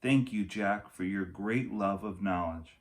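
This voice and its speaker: A man voicing a gentle, wise enchantress in a storybook read-aloud. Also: lowish voice, a little slow. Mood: grateful.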